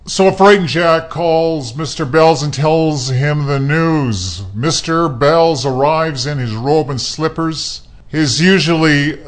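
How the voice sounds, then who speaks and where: A man's voice: fairly high pitch, slow, few pauses. A man narrating a radio play from a script.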